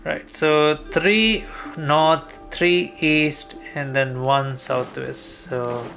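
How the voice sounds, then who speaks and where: A man's voice: on the high side, steady tone, drawn-out words, vocal fry, fairly bright tone, faint music behind. A man giving casual gameplay commentary as a streamer, thinking aloud in a rambling, mildly sweary way. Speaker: game streamer.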